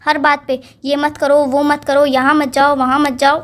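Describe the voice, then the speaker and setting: Woman acting a very high-pitched, exasperated young girlfriend. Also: flat delivery, moderate pace, fairly bright tone. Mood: exasperated, complaining. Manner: pleading, insistent, defensive. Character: exasperated young girlfriend pleading to be heard and believed. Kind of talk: acted romantic phone-call drama in casual colloquial speech.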